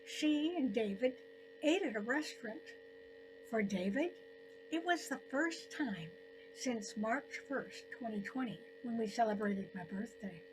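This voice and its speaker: An elderly woman narrating her own scripted personal story in deliberate phrases with frequent pauses. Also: a little high, expressive, music in the background, rough recording. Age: 70s or older.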